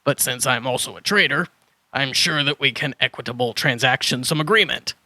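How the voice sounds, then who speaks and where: An eccentric old dinosaur hermit, acted out by a man, with a very bright, forceful edge over a creaky rasp. He is a flustered trader from a children's audio drama who jumbles his words. The recording is dry and close. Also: mid-range voice, fairly quick, no echo, poor audio. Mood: quirky, chatty. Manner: muddles and swaps word order, then corrects himself.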